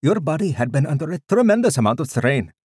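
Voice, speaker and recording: Audiobook role: frail old doctor, Indian accent, raised pitch, brisk bedside manner, performed by a male narrator. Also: very animated, darkish tone, slightly rough, no room sound, studio quality, heavily acted. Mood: matter-of-fact. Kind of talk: audiobook character dialogue.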